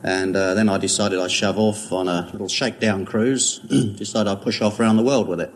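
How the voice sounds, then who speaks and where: A man's voice: lowish voice, fairly even intonation, a little fast. A man chatting as a quiz contestant on a 1950s show, telling tales in a hesitant, understated way with jaunty slang. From outside the United States. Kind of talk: casual anecdotal chat. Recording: 1950s radio recording, faint noise.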